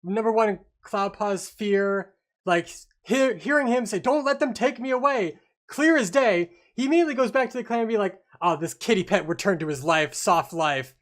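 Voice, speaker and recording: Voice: medium pitch, expressive, moderate pace. A podcast host in a casual book club discussion, talking informally and swearing freely.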